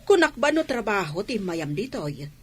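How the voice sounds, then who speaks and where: A woman's voice: medium pitch, big pitch swings, moderate pace, breathy edge. An actress playing a nosy older village woman in a radio drama, with an Ilocano accent. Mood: prying.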